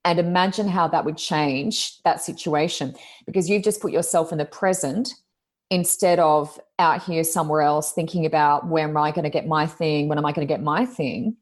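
A woman, co-hosting a casual talk podcast, speaking loudly and expressively as she reflects on her own experience. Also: low voice, moderate pace. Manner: loud, expressive, self-reflective. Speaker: podcast co-host, coach. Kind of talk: casual chat.

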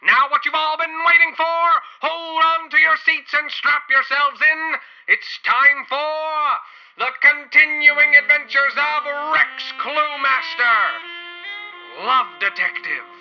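A man's voice: very high voice, slow pace, gravelly, exaggerated voice. A man playing a peppy, hammy old-time radio newsman in a corny, theatrical 1940s announcer style. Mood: hammy excitement. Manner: theatrical, corny showman delivery. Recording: dull lo-fi radio sound, music behind.

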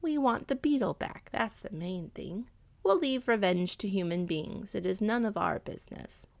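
A woman reading aloud voices a clever, scheming male cat who talks with calm confidence. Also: normal speed, creaky, no echo. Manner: confident, explanatory, slightly raised pitch.

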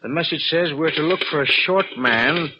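A man's voice: fairly high pitch, normal speed, natural voice. An actor playing a cold, calculating, needling man who feigns concern, speaking with pointed insinuation in 1940s radio drama style. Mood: brisk, pointed. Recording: muffled, noisy old radio broadcast.

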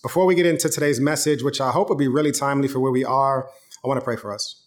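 A man in his thirties preaches an online sermon like he is chatting with friends, moving easily into personal stories. His voice sits at a middle pitch, neither deep nor high. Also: fairly even intonation, quick pace. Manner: casual, conversational preaching with storytelling. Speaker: pastor.

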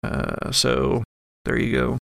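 A man with a gravelly, creaky voice and an average pitch acts as game master for a horror tabletop game. He builds his descriptions piece by piece in a halting way, recorded close and dry. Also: wildly swooping pitch, a bit bright, dry recording.

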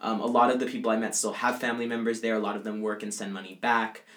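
A man's voice: fairly low pitch, flat delivery, fast talker, a bit bright. A young male college student answering interview questions casually, with frequent fillers and restarts. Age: young adult. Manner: conversational, many fillers like "like" and "um".

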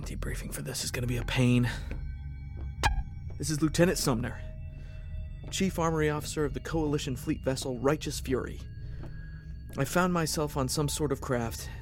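A man's voice: mid-range voice, darkish tone, close to an everyday voice. A man acting a wary, pragmatic military officer stranded on a hostile ship in a science fiction audio drama, terse and dry as he talks to himself. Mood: weary, resolved. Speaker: lead actor in audio drama.

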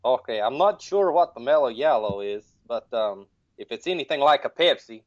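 A man's voice: mid-range voice, expressive, moderate pace, dry recording, muffled recording, lightly acted. A man voicing a droll, hesitant, thousand-year-old horror sidekick in comic podcast banter.

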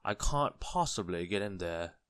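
A man puts on a pompous, ill-tempered storybook grump. He snaps haughtily and stretches his words with scorn, in a low, dry voice. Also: animated, fairly bright tone, clear, resonant tone, no room sound. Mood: scornful. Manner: haughty, drawn-out snapping. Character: rude, pompous, miserable man, easily enraged. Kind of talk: children's storybook character voice.

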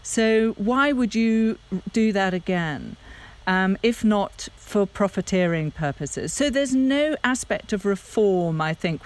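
A middle-aged woman from Zambia co-hosting a podcast, giving emphatic, argumentative political commentary. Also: lowish voice. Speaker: podcast co-host, Africa risk analyst.

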